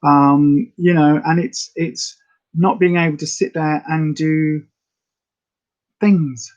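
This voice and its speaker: A woman with a slightly raspy voice, chatting casually and rambling to viewers as the host of a live health update. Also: low-pitched, fairly even intonation, slow, a little mellow, muffled recording. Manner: chatty, rambling, many fillers.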